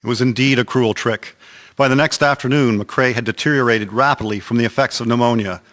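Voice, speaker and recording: A man's voice: medium pitch, steady tone, fairly quick, a bit bright. A man narrating a historical radio drama from a script in a solemn, formal storytelling manner. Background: music.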